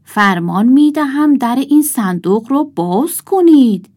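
A woman's voice: high voice, animated, a little mellow, voice pushed up. A woman voicing a pompous toy king in a children's storybook, formal, commanding and declamatory.

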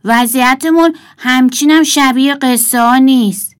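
A woman's voice: high-pitched, pitched above the performer's own voice, close to an everyday voice. A woman voicing a blunt, easily irritated boy doll archer in a children's storybook. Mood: uneasy.

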